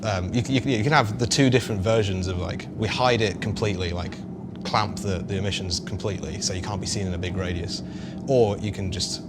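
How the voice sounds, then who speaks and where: A man talking casually and technically as a game developer, halting as he thinks aloud. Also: sits low, steady tone. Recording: loud vehicle noise behind voice.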